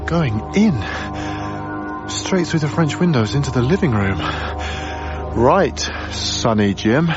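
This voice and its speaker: An actor playing a gentle, hesitant, earnest young male lodger in a naturalistic radio drama. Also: mid-range voice, very animated, takes it slowly, muffled recording, over music. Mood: excited, tense. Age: young adult.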